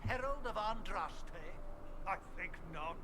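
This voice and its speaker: Brief: condescending cleric; high, swooping delivery; game ambience behind. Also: male voice, lively intonation, slow. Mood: haughty. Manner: haughty, condescending. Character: pompous, self-righteous male church official. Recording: game audio with ambient noise.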